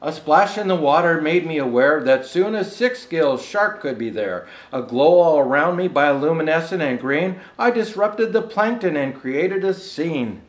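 An older man playing a jolly old Santa Claus, gently reading a rhyming storybook aloud with chatty asides. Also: a little high, lively intonation, a little slow, few pauses. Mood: storytelling. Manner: gentle storyteller, showing pictures to listeners. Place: indoor room set with fireplace and tree. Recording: echoey room.

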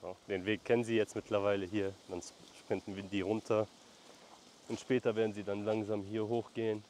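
Man vlogging outdoors on a farm, low voice. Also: level tone, fairly quick, darkish tone. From Lebanon. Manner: calls out to his animals between explanations. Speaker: vlogger and shepherd. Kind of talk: casual vlog narration while herding. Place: outdoors on a rural mountain farm. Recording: faint outdoor noise.

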